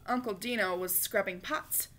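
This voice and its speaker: A woman reading a children's picture book aloud in an expressive, emphatic way, acting it out for young listeners. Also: mid-range voice, moderate pace, slight background noise. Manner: animated, emphatic, acts out dialogue. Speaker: storybook reader. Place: small living room, indoors on a couch.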